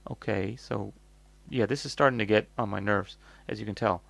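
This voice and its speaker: A low-voiced man with a North American accent and a good deal of vocal fry talks through a product review off the cuff. He rambles and thinks aloud, bluntly, in a very dry-sounding recording. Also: fairly even intonation, normal speed, a bit bright, dry recording. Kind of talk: casual off-the-cuff review commentary.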